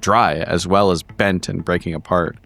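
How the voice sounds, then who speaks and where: A man's voice: low-pitched, fairly even intonation, moderate pace, vocal fry, fairly bright tone, soft background music. A man improvising narration as a player in a tabletop role-playing game, analytical and precise with his words.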